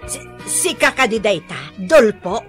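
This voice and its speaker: An actress playing a fussy, gossipy older auntie in a radio comedy, full of drawn-out exclamations, with an Ilocano accent. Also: female voice, medium pitch, lively intonation, soft background music, lightly acted. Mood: amused. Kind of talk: radio drama comedy acting.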